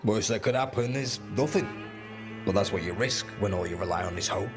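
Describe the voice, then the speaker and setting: A man's voice: fairly low pitch, fairly quick, close to an everyday voice. A man playing a hard-bitten, pragmatic rebel fighter in a fantasy game, blunt and wry. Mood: blunt, firm. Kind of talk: dramatic fantasy game acting. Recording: music under the voice.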